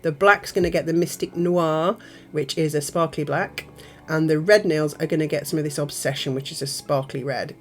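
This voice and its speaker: A woman's voice: low voice, darkish tone. A woman with a nasal voice from a cold, hosting a nail art tutorial in a chatty way with giggly asides. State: has a cold. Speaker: nail art channel host. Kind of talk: casual tutorial narration.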